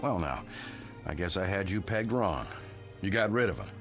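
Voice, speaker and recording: Nosy blunt folksy relative in old tv drama, lowish lively voice, music under it. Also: male voice, normal speed. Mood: probing. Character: suspicious, probing brother-in-law, blunt and folksy. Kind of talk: mid-century TV drama acting. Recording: vintage TV soundtrack with music.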